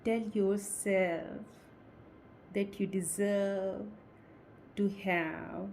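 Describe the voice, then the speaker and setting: Woman, hypnotist, extremely slow pacing, soft dark tone, soothing short phrases, faint background noise. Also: medium pitch, steady tone. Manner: soothing, deliberate short phrases with long pauses. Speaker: hypnotist, guided meditation guide. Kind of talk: slow guided meditation, hypnosis induction.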